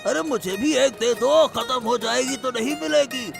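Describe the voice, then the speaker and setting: A man acting a creaky, pushy market customer. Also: extremely high pitch, normal speed, music in the background, heavily acted. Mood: impatient, demanding. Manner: demanding, repetitive. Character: impatient, pushy fish customer in a village market. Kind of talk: cartoon story acting.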